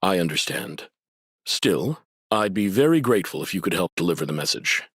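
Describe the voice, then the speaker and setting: Deep-voiced, courteous academic official. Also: male voice, big pitch swings, fairly bright tone, husky, no echo, clean studio sound, exaggerated voice. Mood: polite, composed. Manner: formal, measured, polite. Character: courteous, earnest male academic district administrator. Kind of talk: scripted anime game dialogue.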